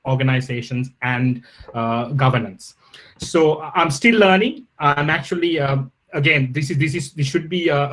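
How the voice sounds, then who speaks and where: A man from Sri Lanka, giving a polite, measured guest lecture over a video call. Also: medium pitch, fairly bright tone. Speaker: guest professor. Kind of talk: online lecture.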